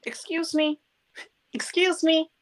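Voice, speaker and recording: Very high pitch, slow, woman playing little kid, polite, persistent, childlike, radio play. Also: level tone. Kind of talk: live radio play reading.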